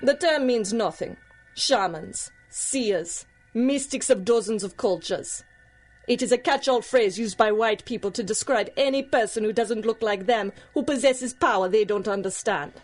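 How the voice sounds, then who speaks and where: A woman playing an ageless, proud and teasing voodoo priestess in a radio drama, speaking in a formal, knowing and measured way. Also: on the high side, soft background music, close to an everyday voice. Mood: solemn, proud. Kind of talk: 1930s-style radio drama acting.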